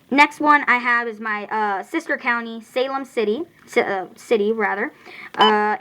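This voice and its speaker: An American speaker giving a casual, halting hands-on product review and tutorial, explaining things informally and correcting slips along the way. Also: mid-range voice, fairly bright tone, slight background noise, rough recording. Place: indoors at home.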